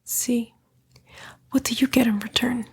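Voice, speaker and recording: A woman voicing a smug, seductive female demon, a fallen angel, in a breathy, coaxing ASMR roleplay whisper. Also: fairly high pitch, fairly even intonation. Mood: coaxing, scornful. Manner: slow, coaxing, taunting, drawn-out whisper.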